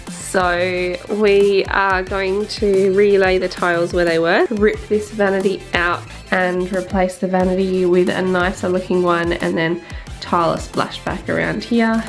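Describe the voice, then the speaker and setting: A young Australian woman talks to a handheld camera at arm's length, her voice a little snuffly from a blocked nose. She chats loosely with plenty of fillers and quick laughs. Upbeat music plays clearly behind her. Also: medium pitch. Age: young adult. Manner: chatty, laughing, filler-heavy. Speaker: vlogger. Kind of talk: casual vlog chat. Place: home bathroom under renovation, indoors.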